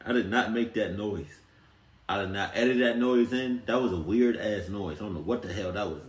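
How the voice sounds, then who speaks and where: A man's voice: lowish voice, normal speed, pauses often. Young man reacting with startled exclamations. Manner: loud startled exclamations, swearing and laughing. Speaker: reaction video host. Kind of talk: casual reaction commentary. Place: indoors in front of a green screen. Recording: roomy room sound.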